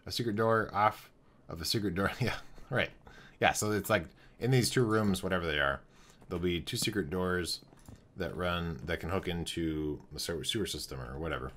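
A man's voice: low-pitched, moderate pace, a bit bright, slight background noise. A young man streaming a building game from home, thinking aloud as he plans rooms and greets his chat, his voice wearing out. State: losing his voice. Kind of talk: live gameplay commentary.

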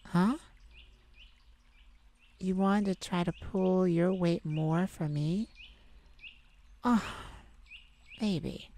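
A woman voicing a sweet, caring boyfriend in an intimate ASMR roleplay, tender, gently teasing and coaxing. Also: low voice. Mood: earnest, caring. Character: sweet, caring young boyfriend comforting his partner.

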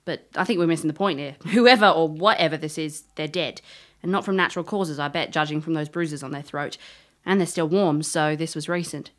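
A confident elf woman played by an actress in a fantasy audio drama. Her voice is on the low side, and she talks in a wry, offhand, understated way. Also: fairly quick, lightly acted. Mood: wry, investigative.

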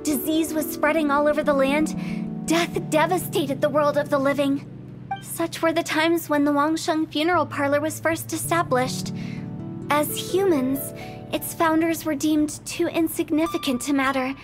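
A woman's voice: sits high, fairly even intonation, moderate pace, music in the background, close to an everyday voice. A woman playing a playful, theatrical young funeral director in a video game. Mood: solemn storytelling.

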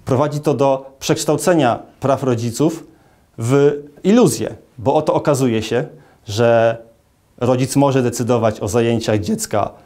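A man in his thirties, a lawyer, giving a formal statement to camera in an office, speaking in a measured way with frequent hesitation fillers. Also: medium pitch, mellow. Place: indoor office with large arched window.

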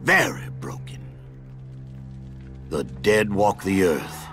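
A weary old survivor in a zombie game. His extremely deep voice moves slowly and gravely, with music clearly behind it. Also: big pitch swings, fairly bright tone, exaggerated voice. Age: older. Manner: grave, deliberate.

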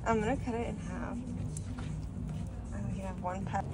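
A woman's voice: medium pitch, fairly even intonation, normal speed, heavy background noise. A woman chatting casually with friends, picked up off-mic. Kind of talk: casual chat among friends. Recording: off-mic with loud music behind. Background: loud music.

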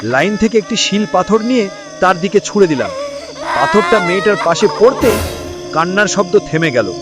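A man tells a first-person ghost tale for an animated video, and his voice moves up and down a lot as he narrates. Loud music fills the background, with crickets chirping beneath it. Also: high-pitched, moderate pace. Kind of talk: spoken horror story narration for a cartoon.

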